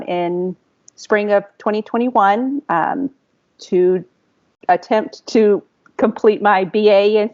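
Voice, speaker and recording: A woman in her forties from Texas giving a casual self-introduction as a student on a podcast. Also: mid-range voice. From Fort Worth area, Texas, United States. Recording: slightly muffled lo-fi audio.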